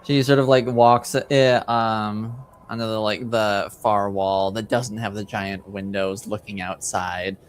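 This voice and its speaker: A man improvising tabletop game narration as game master, hesitant and halting, with many filler words. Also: fairly low pitch, fairly even intonation, a little hiss or hum.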